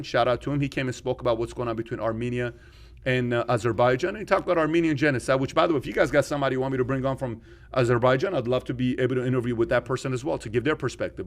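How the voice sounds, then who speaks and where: Male podcast host from Iran, conversational and opinionated, medium pitch, arguing through questions and personal anecdotes. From Iran, later Germany and America.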